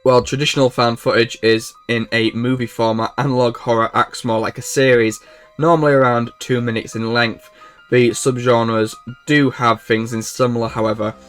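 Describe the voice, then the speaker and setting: A man's voice: mid-range voice, little pitch movement, normal speed, fairly bright tone, smooth. A man hosting a video essay, reading informal scripted narration. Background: background music.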